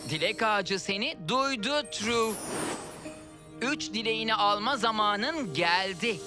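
A woman voicing a calm, knowledgeable guide to magic wishes in a dubbed children's cartoon, measured and gentle. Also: medium pitch, close to an everyday voice. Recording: music behind the voice.

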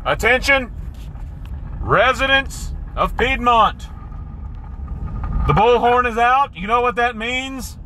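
Man acting taunting neighborhood announcer, raised high pitch, declamatory, bullhorn, noisy car. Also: slow, pauses often, bright, forceful tone, exaggerated voice. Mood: mock-bossy. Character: mock-bossy neighborhood announcer shouting into a bullhorn. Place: inside a car, window down.

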